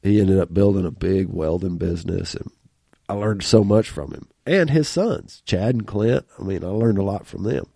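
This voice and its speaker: A man from rural small-town America hosts a podcast, talking with a stuffy nose. His voice is mellow and dark, and he talks in a folksy, rambling, laugh-prone way. The studio sound is close and dry. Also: low-pitched, moderate pace, gravelly, no echo. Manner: folksy, talkative, laughs often. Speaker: podcast host. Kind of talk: casual interview chat.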